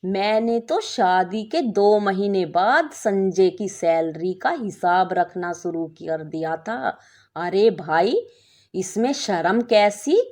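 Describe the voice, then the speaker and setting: Woman acting a scheming, bossy young wife, animated. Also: mid-range voice, takes it slowly. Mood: smug, hard. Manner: knowing, condescending, persuasive.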